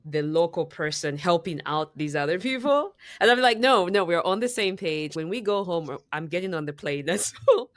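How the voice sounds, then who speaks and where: A woman's voice: fairly low pitch, expressive, moderate pace, hardly any pauses, dry recording, studio quality. A woman from Zambia co-hosting a podcast, telling a story in casual chat with many fillers and restarts. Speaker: podcast co-host.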